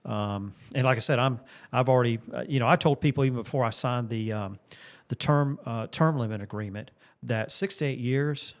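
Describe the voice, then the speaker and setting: This is a man in his late fifties from Florida who speaks as a candidate for Congress. His voice sits at a middle pitch. He answers in an earnest way, with many restarts and repeated phrases. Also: normal speed. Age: 50s. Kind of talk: interview answers.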